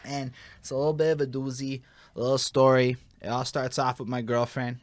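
A man's voice: mid-range voice, level tone, a little fast, crowd noise. A young man hosting a podcast interview, talking casually with a slangy, storytelling manner. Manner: laid-back, slangy, storytelling with lots of "like". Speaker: podcast host.

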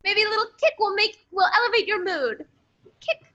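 A very high, bright voice for a ditzy, brazen young lady sleuth. She swoops around with blithe, chatty eagerness in a comic radio play. Music plays behind her in slightly muffled audio. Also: expressive, moderate pace, exaggerated voice. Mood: flustered, oblivious. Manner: breezy, chatty, blithely unconcerned. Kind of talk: comic old-time radio play acting.

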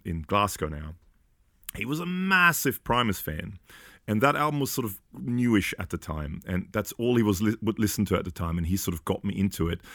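A man's voice: low voice, a bit bright, no echo, clean studio sound. A man in his forties from Austria, a podcast guest chatting casually and hesitantly, full of fillers and restarts. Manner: hesitant, many fillers and restarts, laughs often. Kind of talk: casual chat.

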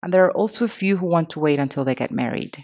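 A woman's voice: fairly low pitch, animated, quick pace, highs cut off. A woman in her thirties hosting a podcast, reading scripted commentary in a candid, conversational way. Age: 30s. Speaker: podcast host.

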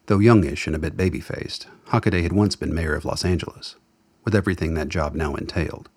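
A man's voice: very deep voice, fairly quick, a little mellow, husky. A man reading scripted literary narration as the narrator of a radio play.

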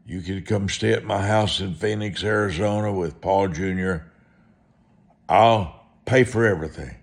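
A male preacher with a very deep voice delivers a personal testimony. He speaks slowly and deliberately, in a folksy way, leaning on repeated phrases to drive each point home. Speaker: preacher, evangelist.

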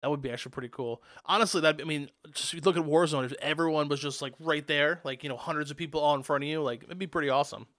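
Fast-talking young man hosting a podcast. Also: no room sound, clean studio sound. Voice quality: youthful, higher voice. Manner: fast, excitable, lots of fillers. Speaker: podcast host. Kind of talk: casual podcast chat.

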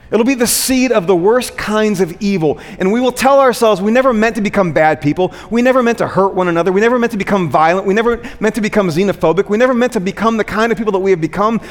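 A man preaching a conversational sermon, using rhetorical questions, repeated phrases and frequent check-ins with his listeners. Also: high-pitched. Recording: long hall reverb.

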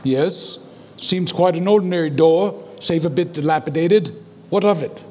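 Aloof gentleman lawyer, played by a man: high male voice, dry formal delivery, long reverb. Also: normal speed, lightly acted. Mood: quietly curious. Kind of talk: period audio drama dialogue.

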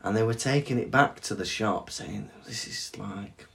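A man's voice: fairly low pitch, moderate pace, vocal fry, a bit bright. Chatty podcast co-host, young man. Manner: chatty, laughs often, lots of agreeing "yeah, yeah". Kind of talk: casual chat.

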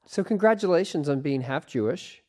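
A woman's voice: sits low, expressive, fairly quick, a little mellow. Playful, joking woman podcast host. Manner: playful, joking, loose and chatty. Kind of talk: casual interview chat. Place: studio with headphones.

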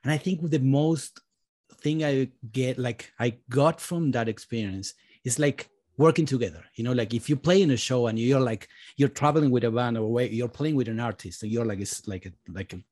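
A man speaks on a panel as a session musician turned composer. His voice is mid-pitched, and he halts often, stringing his thoughts together with a constant "like". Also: a little mellow. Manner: halting, heavy use of "like". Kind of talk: casual panel talk.

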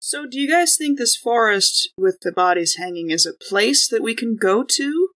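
A woman's voice: a little high, animated, normal speed, fairly bright tone. A woman chatting casually and slangily as a player in a tabletop role-playing game.

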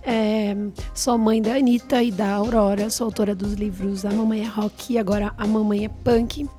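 A woman with a southern Brazilian accent and a slightly hoarse voice, chatting casually as a podcast guest. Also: mid-range voice, little pitch movement, normal speed, mellow, soft background music. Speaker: podcast guest, writer and journalist. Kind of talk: casual conversation.

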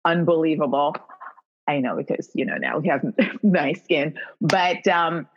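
A woman's voice: deep voice, expressive, breathy edge. A woman from Haiti with a Haitian accent, a guest telling her story in a casual, effusive interview. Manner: warm and effusive, quick to affirm. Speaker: guest, skincare company founder. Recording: dull lo-fi audio.

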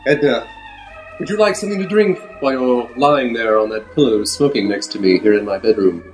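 A man's voice: medium pitch, brisk, a little mellow, faint music behind, natural voice. A man playing a vain, self-serious movie heartthrob with a secret shame, in theatrical improvised old-time radio drama style. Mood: suave.

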